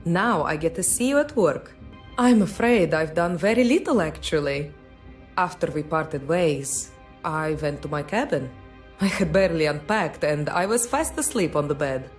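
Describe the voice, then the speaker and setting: A woman's voice: sits low, expressive, moderate pace, soft background music. An actress playing a well-bred woman under questioning in a mystery game, teasing and polite with a touch of nerves. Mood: playful, excited. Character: coy, well-bred woman suspect, playful yet nervous. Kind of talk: video game voice acting.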